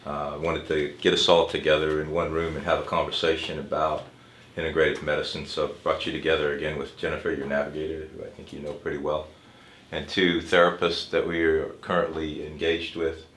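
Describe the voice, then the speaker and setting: A man playing a reassuring cancer doctor in a role-play, explaining things in a measured, hesitant way. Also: very deep voice, little pitch movement, a bit bright. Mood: collegial, reassuring. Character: reassuring male cancer doctor leading a care team. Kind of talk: amateur role-play dramatization. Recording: echoey room.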